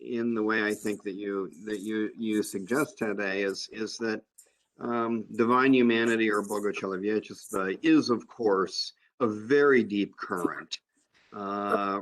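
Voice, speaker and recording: A man giving a measured academic comment at a conference, with many fillers. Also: on the low side, fairly even intonation, low-quality recording. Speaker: conference panelist. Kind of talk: academic discussion.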